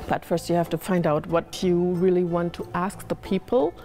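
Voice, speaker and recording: A woman explaining a design process step by step in an interview, calm and measured. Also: on the low side. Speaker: summer school organizer. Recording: music playing underneath.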